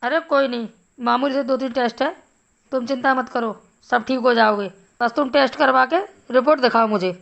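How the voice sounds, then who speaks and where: A woman voicing a concerned male village doctor in an animated Hindi story, explaining things in a measured way. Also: high-pitched, vocal fry, lo-fi sound. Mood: reassuring. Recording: music behind the voice.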